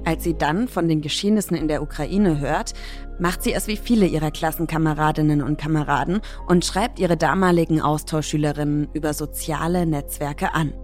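A woman narrating a news report in a steady, measured, scripted way. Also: low-pitched, faint music behind.

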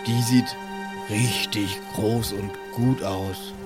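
A man's voice: on the low side, steady tone, takes it slowly, over music. A man chatting casually and improvising as a player in a tabletop role-playing game. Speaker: player in an improvised pen-and-paper audio play.

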